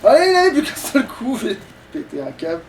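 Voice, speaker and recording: Male let's player, high-pitched voice, grumbling casual commentary, distant mic. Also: huge pitch swings, fast talker. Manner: sweary, grumbling. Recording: distant mic, faint background noise.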